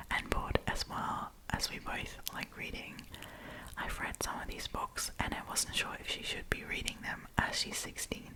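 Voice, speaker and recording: A woman speaking in a breathy, unvoiced whisper as an ASMR host, reading stories and commenting in a chatty, informal, opinionated way. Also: poor audio.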